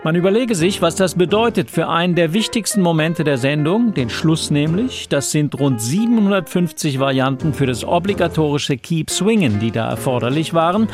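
A man presenting a radio program in a relaxed, wry way, interviewing a guest. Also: a little high, moderate pace, few pauses, over music. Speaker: radio host.

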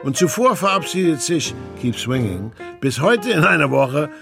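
An older man signing off a radio show with lively flair, from an archive broadcast. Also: on the high side, normal speed, vocal fry, over music. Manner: lively, swinging sign-offs.

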